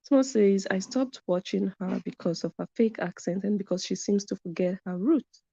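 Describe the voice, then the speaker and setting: A woman's voice: mid-range voice, normal speed, creaky, warm tone, husky, dry recording. A woman hosting a live commentary stream, reading viewer comments and stressing her points by repeating them. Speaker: commentary channel host. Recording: dull lo-fi sound.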